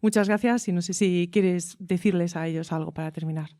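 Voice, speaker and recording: A woman's voice: on the low side, steady tone, fairly quick, dark tone, dry recording, clean studio sound. A woman with a Spanish accent from Spain, speaking casually as an interviewer. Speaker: interviewer, teacher.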